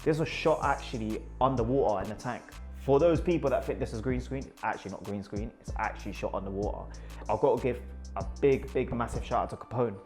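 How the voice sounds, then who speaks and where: Enthusiastic London photographer, repeats words. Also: male voice, lowish voice, a little mellow. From Iraq. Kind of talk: casual talk about his photos.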